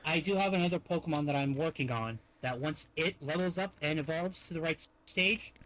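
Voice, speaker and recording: A young man in casual online roleplay, speaking deliberately and restarting phrases for emphasis. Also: on the high side, fairly bright tone, low-quality recording. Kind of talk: casual improvised roleplay chat. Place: online virtual-reality chat.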